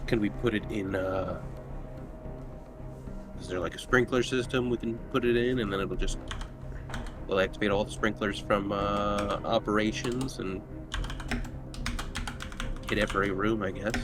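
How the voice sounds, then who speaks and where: Low-voiced man over clear music. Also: fairly even intonation, measured pace, pauses often. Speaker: tabletop game player. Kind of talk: casual in-game discussion. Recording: music and keyboard typing behind voice. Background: music, typing.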